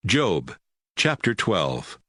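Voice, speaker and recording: A man's voice: sits low, sing-song, drawn-out words, a bit bright. A man narrating scripture from a script, announcing chapters and speakers in a formal reading.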